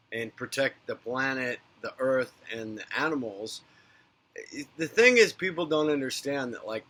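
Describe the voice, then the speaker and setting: A man hosting his own unscripted spiritual talk as a psychic medium, rambling and candid, swearing freely and swinging between loud rants and soft murmurs. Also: medium pitch. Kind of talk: unscripted spiritual monologue to camera. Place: based in a big city.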